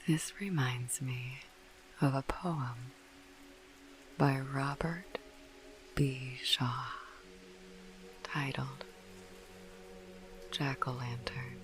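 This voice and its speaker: A woman narrating a bedtime ASMR podcast in a hushed, breathy whisper, soothing and unhurried. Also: a bass voice, steady tone, faint music behind. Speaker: host of a sleep podcast.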